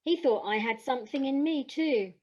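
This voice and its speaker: A woman's voice: high-pitched, expressive, moderate pace, a little mellow, lo-fi sound. An actress playing a plucky, cheeky young girl who dances, in an audio play. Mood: wistful.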